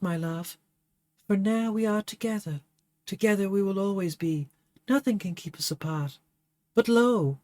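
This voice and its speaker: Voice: mid-range voice, dry recording, voice pushed up, lightly acted. A reader voicing Favia, a young woman lover dying in a stage tragedy, with melodramatic pleading.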